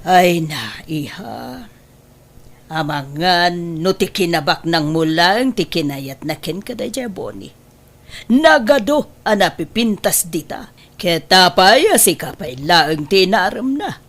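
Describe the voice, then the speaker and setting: An actress playing a controlling middle-aged mother in an Ilocano audio drama, insistent and deliberate, with an Ilocano accent. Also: lowish voice, expressive, a bit bright, full character voice. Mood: disapproving.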